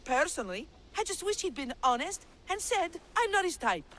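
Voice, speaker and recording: A woman's voice: very high voice, sing-song, moderate pace, a bit bright, a little hiss or hum, exaggerated voice. An actress playing an outspoken village woman from ancient Greece in a video game cutscene. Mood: admiring, gossipy.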